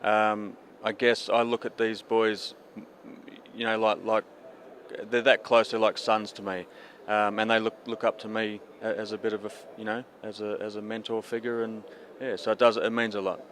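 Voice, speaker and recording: A man's voice: on the low side, flat delivery, normal speed, a bit bright, slight background noise. A man who trains apprentice jockeys, answering interview questions hesitantly with many fillers.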